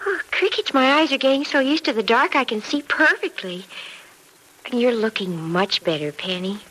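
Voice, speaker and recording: An actress playing an earnest, girlish young expectant mother in an old radio drama, gentle and coaxing, on a vintage recording. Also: fairly high pitch, lively intonation, normal speed, low-quality recording. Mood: eager, gentle. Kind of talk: 1940s radio drama acting.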